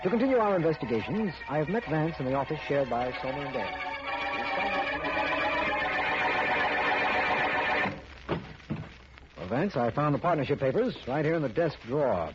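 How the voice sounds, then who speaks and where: An actor playing a patient district attorney in a 1940s radio drama, formal and dryly wry. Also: male voice, a little high, big pitch swings, fairly quick, music in the background. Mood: measured, official. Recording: muffled, noisy old radio recording.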